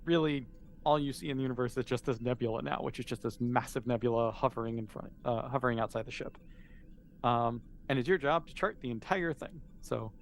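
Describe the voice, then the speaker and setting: A man's voice: mid-range voice, fairly quick, gravelly, a little hiss or hum. A man game mastering a tabletop role-playing game, explaining and narrating in a casual, informal way.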